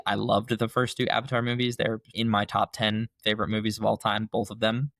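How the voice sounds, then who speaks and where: A young man hosting his own podcast, chatting casually and rambling with enthusiasm while his voice is still hoarse from being sick. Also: fairly low pitch, a bit bright, dry recording. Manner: enthusiastic, rambling, fan gushing. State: hoarse, recovering voice. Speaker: podcast host. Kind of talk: casual solo podcast chat.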